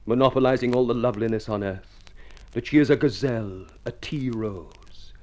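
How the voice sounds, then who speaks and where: An actor playing a homely but smooth-talking suitor in an old radio drama, telling his tale in a flowery, self-satisfied way. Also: mid-range voice, moderate pace, darkish tone, close to an everyday voice. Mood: boastful, lyrical. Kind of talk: old-time radio drama acting and narration.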